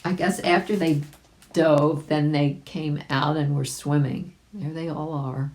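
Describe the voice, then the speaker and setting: A woman reading a children's story aloud in an expressive way, with chatty asides to young listeners. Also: sits low, unhurried. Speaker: volunteer storybook reader.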